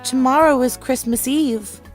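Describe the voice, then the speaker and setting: A woman voicing a kindly, concerned village neighbor in a storybook, emphatic and expressive. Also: high voice, unhurried, soft background music, voice pushed up.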